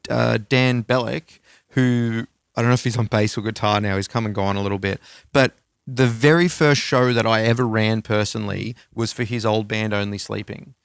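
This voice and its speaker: A man's voice: fairly low pitch, fairly even intonation, moderate pace, gravelly, clear, resonant tone, no room sound, studio quality. A middle-aged Australian man co-hosting a podcast, telling rambling, slangy anecdotes in casual chat with some swearing. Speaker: podcast co-host.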